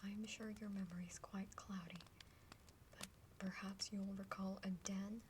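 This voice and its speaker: A woman's voice: medium pitch, flat delivery, measured pace, close to an everyday voice. Woman acting a haughty whispering half-dragon older sister. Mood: reassuring. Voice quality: breathy whispering. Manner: condescending, bluntly spoken. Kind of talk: whispered ASMR roleplay. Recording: clearly noisy background with clicks.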